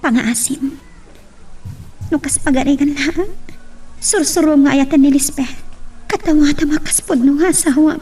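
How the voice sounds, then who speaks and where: A woman's voice: sits high, normal speed, pauses often, mellow, lightly acted. A woman playing an earnest young woman in love in an Ilocano audio drama. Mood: pleading. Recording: distant mic, faint background noise.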